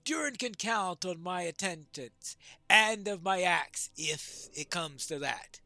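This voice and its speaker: A man's voice: sits high, expressive, slow, bright, forceful tone, dry recording, exaggerated voice. A man voicing a solemn, battle-ready dwarf lord in formal, declamatory fantasy game dialogue. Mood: resolute.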